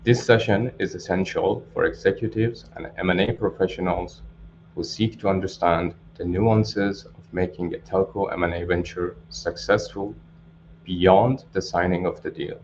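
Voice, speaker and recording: Low-voiced man, dull noisy recording. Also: steady tone, moderate pace, a little mellow. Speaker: webinar host. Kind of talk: formal scripted introduction. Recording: dull lo-fi audio, clear background noise.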